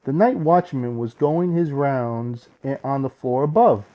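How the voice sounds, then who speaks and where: A man reading a story aloud for children in an expressive, deliberate storyteller's way. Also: mid-range voice, highs cut off. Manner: deliberate, pauses to ask listeners questions.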